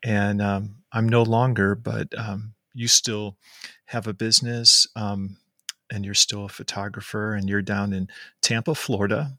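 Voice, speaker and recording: A man hosting a podcast, friendly and hesitant, with frequent ums between his questions. His voice is low-pitched and bright, and it is recorded dry and close. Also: level tone, measured pace, no echo. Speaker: podcast host. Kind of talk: conversational interview.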